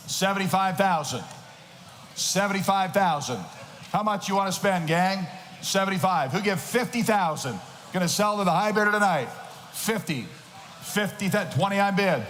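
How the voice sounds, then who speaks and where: A man working as an auctioneer, calling bids in a rapid rhythmic chant and shouting the numbers. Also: high-pitched. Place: large auction hall. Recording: noisy reverberant hall.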